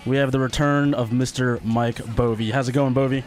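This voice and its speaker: Slightly nasal man co-hosting a chat show, playful and quick, faint music behind. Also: mid-range voice, steady tone. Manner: joking, laughs often, quick banter. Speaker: podcast co-host. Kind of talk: casual chat.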